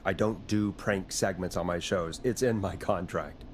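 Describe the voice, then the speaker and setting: A man's voice: sits low, normal speed, close to an everyday voice. Guy voicing a jaded sarcastic radio dj for a game, bit of noise behind him. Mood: skeptical, irritated. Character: jaded, sarcastic male late-night radio DJ. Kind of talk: video game voice acting.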